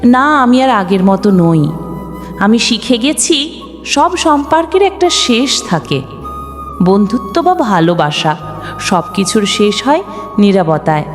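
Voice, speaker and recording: Woman as a grave, quietly resolute young man in a sad audio story; reverberant, music bed. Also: mid-range voice, big pitch swings, slow pace, pauses often. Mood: resigned. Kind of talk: storybook dialogue. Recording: long reverb, music underneath.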